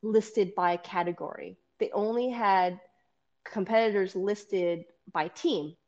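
A woman jiu-jitsu coach with a loud, carrying voice, chatting casually, laughing often and acting out what people said. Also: medium pitch, slow pace, muffled recording. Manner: animated, laughs often, acts out quoted dialogue. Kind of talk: casual podcast chat.

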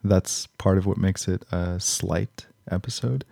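A man's voice: low voice, slow pace, vocal fry, dark tone, dry recording, clean studio sound. A man hosting a podcast, reading scripted narration to introduce an episode. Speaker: podcast host.

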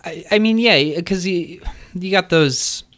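A man's voice: sits high, takes it slowly, fairly bright tone. A man in his thirties hosting a casual interview, chatty and enthusiastic, swearing now and then. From Illinois, Midwest US. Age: 30s. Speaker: podcast host.

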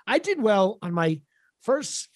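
A middle-aged man with a raspy voice, chatting casually as an interview guest in a wry, self-deprecating, halting way. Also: high voice, sing-song. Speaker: podcast guest, actor and comedian.

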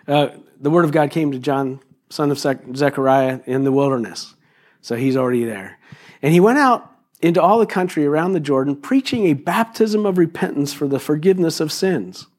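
A man in his fifties, a pastor, preaching a sermon in a loose, conversational way, his voice a little raspy today, with many fillers and restarts. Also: a little high, darkish tone. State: raspy voice this morning.

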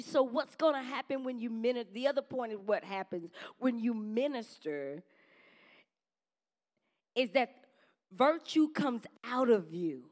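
A woman preaching a sermon to her congregation in a conversational style, with quick call-and-response asides to the church. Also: high voice. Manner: says she has a strong voice.

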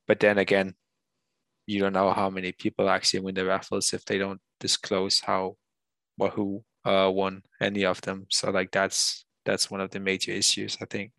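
A man's voice: low voice, completely flat delivery, moderate pace, vocal fry, a bit bright, no room sound, poor audio. A man talking casually in a reflective, nostalgic and rambling way. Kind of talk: casual conversation.

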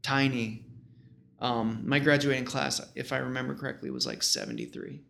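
Gravelly, casual American man in his thirties. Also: medium pitch, very animated, moderate pace, background noise. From small-town western New York, United States. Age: 30s. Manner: informal, rambling, peppered with slang. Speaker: guest. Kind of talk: casual conversational storytelling.

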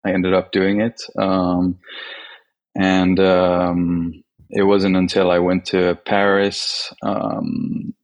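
A man from Sweden, a guest filmmaker, answering interview questions hesitantly with many pauses and restarts. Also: sits low, little pitch movement.

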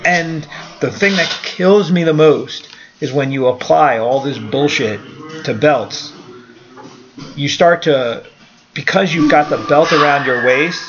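A man's voice: fairly high pitch, highs cut off. A man in his forties talking in a casual podcast conversation, blunt and opinionated, swearing now and then. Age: 40s. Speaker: karate school owner, guest.

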